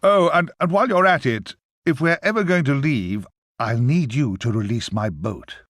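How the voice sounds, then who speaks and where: A man's voice: mid-range voice, animated, normal speed, a bit bright, dry recording, clean studio sound. An actor playing a chatty, hapless ferryman to the dead in a video game, with a wry, rambling, grumbling manner. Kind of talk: video game cutscene acting.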